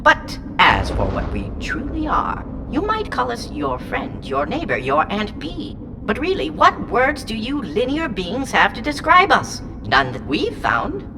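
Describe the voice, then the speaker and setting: A woman's voice: a little high, huge pitch swings, a little slow, few pauses, music in the background, full character voice. A woman voicing an ancient, whimsical and haughty alien entity inside a small robot in an audio drama, speaking in theatrical, rambling, pompous riddles. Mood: indignant, huffy. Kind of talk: full-cast audio drama acting. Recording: clear noise bed behind the voice.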